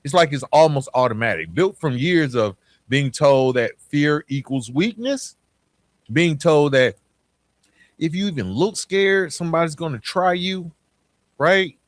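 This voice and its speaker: A man's voice: on the high side, animated, a little slow, no echo. Reflective male podcast host, dry close sound. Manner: reflective, emphatic, with rhetorical check-ins to the listener. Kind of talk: conversational motivational talk to listeners.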